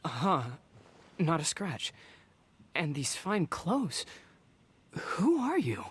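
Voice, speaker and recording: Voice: medium pitch, sing-song, extremely slow, slight background noise. An actor voicing a kind, loyal young male warrior who stammers with concern.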